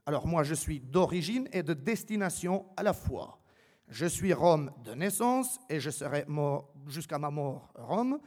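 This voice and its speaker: A man's voice: fairly high pitch, expressive, a little slow, a little mellow. A man from Albania giving a semi-improvised public talk with dry, ironic humour and rhetorical emphasis. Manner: rhetorical questions and emphatic repetition. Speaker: invited speaker. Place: reverberant hall. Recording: long hall reverberation.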